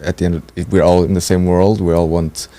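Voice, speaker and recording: A man's voice: sits low, level tone, quick pace, mellow. A man in his twenties from Portugal, speaking fluently and thoughtfully in a casual conversation. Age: 20s. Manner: fluent and thoughtful, building longer sentences. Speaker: training participant.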